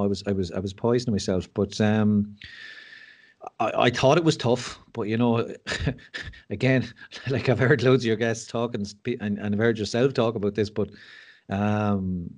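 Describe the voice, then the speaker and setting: Irish man, lowish voice, rambling candid storytelling, self-deprecating, podcast guest. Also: a little mellow. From Ireland. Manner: frank, self-deprecating, rambling with many fillers and restarts.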